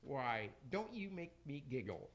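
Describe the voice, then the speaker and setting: A man's voice: mid-range voice, very animated, measured pace. A man acting a pompous, scheming Roman emperor from hell in a rhymed radio play, declaiming theatrically. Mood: menacing, boastful. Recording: faint noise, amateur radio broadcast.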